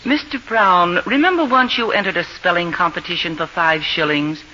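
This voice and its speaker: Firm, bright-voiced radio mother. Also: fairly low pitch, normal speed. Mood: dry, firm. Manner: firm, matter-of-fact, no-nonsense. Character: firm, sensible middle-aged mother of the household. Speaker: radio drama actor. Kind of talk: 1940s radio acting. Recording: dull, faintly hissy old radio recording.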